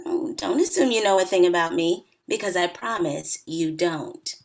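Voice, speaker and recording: A woman's voice: on the low side, normal speed, vocal fry. An actress in her early thirties playing a wry, teasing, hard-drinking bridesmaid in a comedy table read.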